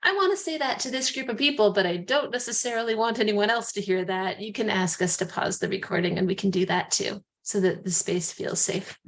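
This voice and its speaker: A woman hosting an informal group video call, calmly inviting people to introduce themselves. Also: mid-range voice. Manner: friendly, unhurried facilitating. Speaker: host.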